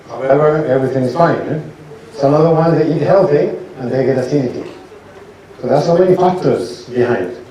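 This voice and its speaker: A man gives an informal lecture as a doctor, speaking haltingly and asking his audience questions. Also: mid-range voice, warm tone. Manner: halting, restarts sentences, asks audience rhetorical questions. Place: lecture hall. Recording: echoey hall, from a distance, faint noise.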